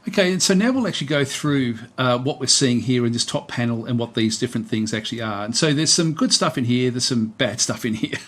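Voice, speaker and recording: A man with a mid-pitched voice presents a software walkthrough in an informal, off-the-cuff way. He rambles and hesitates, circling back over the same points as he explains each step. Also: animated, brisk, few pauses. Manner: rambling, hesitant, repeats himself. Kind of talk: casual unscripted screen-recorded tutorial and review.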